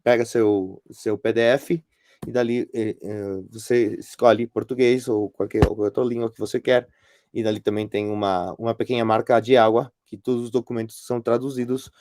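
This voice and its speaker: A middle-aged man with a Spanish accent, speaking as a non-native and giving a workshop presentation as guest lecturer, halting often with fillers and restarts. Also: medium pitch, level tone, a little mellow, no echo. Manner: hesitant, many restarts and fillers.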